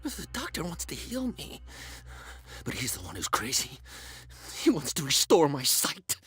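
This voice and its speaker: A man playing a blinded, half-mad, terrified prisoner in a video game, with a raspy, gravelly voice and a feverish delivery that lurches from whispers to cries. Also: a little high, huge pitch swings, measured pace, a bit bright, no echo, exaggerated voice. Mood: agitated, bitter. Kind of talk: dramatic video game voice acting.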